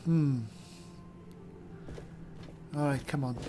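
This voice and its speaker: A man's voice: mid-range voice, steady tone, drawn-out words, noisy background. A man roleplaying a haughty elf as he comments on a fantasy game, dry and condescending. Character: haughty male high elf spellsword. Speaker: gamer, roleplay series host.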